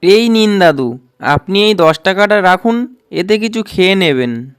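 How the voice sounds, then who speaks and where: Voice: mid-range voice, expressive, a little slow, clean tone, no room sound, clean studio sound, natural voice. A performer voicing an earnest, eager young village boy in a cartoon, with simple childlike delivery. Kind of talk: cartoon dialogue.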